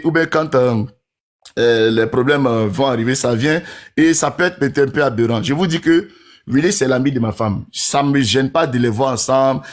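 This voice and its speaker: A man in his forties talking in a casual, rambling monologue to his followers, who keeps asking whether they understand. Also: mid-range voice. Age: 40s.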